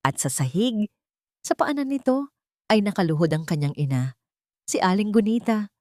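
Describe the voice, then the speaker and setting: A woman's voice: mid-range voice, lively intonation, normal speed, a little mellow, no echo, clean studio sound. A woman storyteller delivering scripted, dramatic narration of a sentimental tale. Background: background music.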